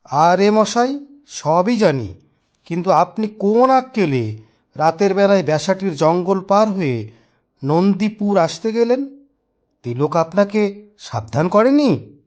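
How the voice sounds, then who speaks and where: Man acting a very animated village gentleman. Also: sits high, slow, pauses often, exaggerated voice. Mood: scolding. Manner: emphatic, explanatory, theatrical. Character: knowing village gentleman, reassuring yet scolding, telling a ghost tale. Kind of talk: dramatic cartoon story dialogue.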